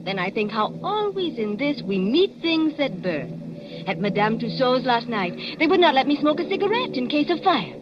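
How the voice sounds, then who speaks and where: An excitable companion. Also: female voice, high voice, normal speed. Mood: musing. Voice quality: excitable, mangles English idioms. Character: plucky foreign woman companion. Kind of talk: 1940s radio acting. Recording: dull noisy old radio broadcast.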